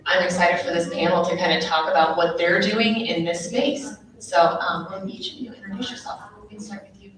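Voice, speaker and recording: A woman moderating a panel, pausing often with "um" as she sets up questions. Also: fairly low pitch, fairly even intonation, crowd noise. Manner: hesitant, many "um"s. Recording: echoey hall, mic at a distance.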